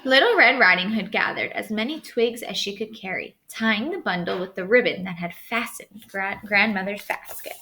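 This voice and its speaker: A woman teacher reading a storybook aloud to students in an expressive, instructive way. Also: medium pitch, moderate pace, hardly any pauses, slight background noise.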